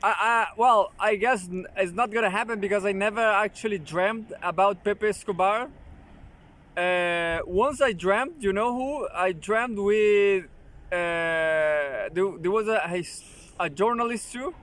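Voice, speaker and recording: A man with a notably high voice hosts his own channel, reading an article aloud and commenting on it. He talks slowly and haltingly, restarting words often and slipping in joking asides. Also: fairly bright tone.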